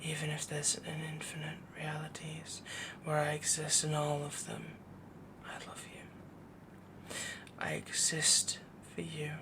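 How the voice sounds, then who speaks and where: Man as possessive young wolf boy, breathy whisper, slow cooing devotion, creaky edges, ASMR roleplay. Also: on the high side, completely flat delivery. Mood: adoring, possessive. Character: possessive, doting young wolf boy mate, sweetly dominant.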